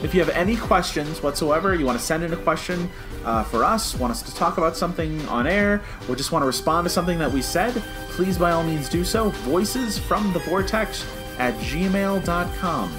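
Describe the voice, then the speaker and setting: An American man co-hosting a podcast in casual, animated fan chat that slips into mock quotes. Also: on the high side, moderate pace, music in the background. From United States. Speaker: podcast co-host.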